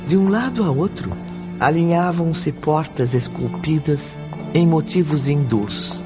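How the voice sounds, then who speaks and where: Measured Brazilian male narrator over music. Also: fairly high pitch. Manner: measured, formal. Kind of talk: scripted radio drama narration.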